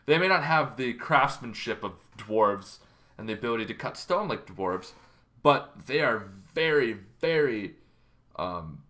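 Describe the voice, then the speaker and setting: A man in his thirties talks like a hobby show host giving an informal explainer. His voice sits at a middling pitch with a bright, forward edge. The delivery is casual and halting, recorded indoors in a bedroom. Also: lively intonation. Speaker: host of a tabletop roleplaying lore show.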